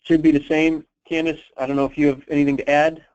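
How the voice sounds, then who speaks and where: Man on a dull conference line, even-toned. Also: on the high side, fast talker. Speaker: presenter answering callers' questions on a conference call. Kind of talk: unscripted question-and-answer briefing. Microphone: telephone conference call.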